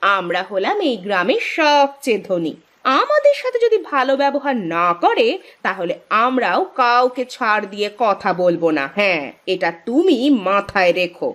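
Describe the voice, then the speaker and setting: A woman voicing a proud, sharp-tongued rich village wife in a cartoon, speaking emphatically. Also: mid-range voice, very animated, moderate pace. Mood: scornful, threatening. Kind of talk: Bengali cartoon story dialogue.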